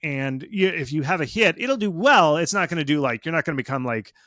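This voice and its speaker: A man giving casual, animated explanations as an expert guest in an interview, speaking informally and with emphasis. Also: on the high side, a little fast, dry recording, clean studio sound. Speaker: video game marketing expert, interview guest.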